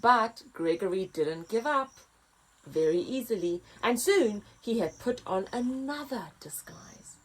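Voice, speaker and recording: A woman reading a children's story aloud as a story time host, with expressive, dramatic emphasis. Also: on the high side, a little slow, a little hiss or hum, low-quality recording.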